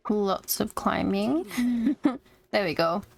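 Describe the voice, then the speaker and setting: A woman's voice: mid-range voice, lively intonation, takes it slowly, gravelly. A woman giving casual live gameplay commentary as a streamer, with chatty, informal asides to her viewers. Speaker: video game streamer. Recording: game music behind. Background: video game music.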